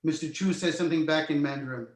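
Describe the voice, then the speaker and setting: Casting: male narrator for screenplay directions, slightly high voice, roomy echoing sound. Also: quick pace. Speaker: narrator reading stage directions. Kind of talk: screenplay table read narration, present tense.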